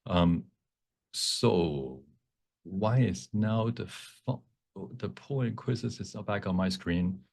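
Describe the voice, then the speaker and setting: Man, deep voice, bilingual instructor, step-by-step explanation, halting phrasing with repeats. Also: gravelly, darkish tone. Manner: bilingual, switches between English and Cantonese. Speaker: workshop presenter, instructor. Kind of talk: live software demonstration, explanatory teaching.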